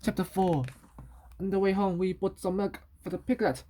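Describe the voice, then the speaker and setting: A woman reading a children's story aloud in a halting way, repeating and correcting words as she goes. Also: mid-range voice, fairly even intonation, mellow, slight background noise.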